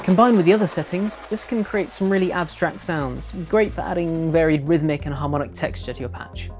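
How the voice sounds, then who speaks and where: A woman presenting a software tutorial in scripted narration. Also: low voice, brisk, over music.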